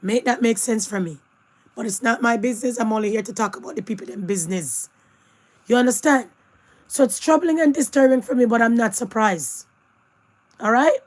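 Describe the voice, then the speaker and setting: Woman host, hoarse from losing her voice, higher voice, chatty commentary. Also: fairly quick. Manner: chatty asides, addresses viewers directly. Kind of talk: casual news commentary.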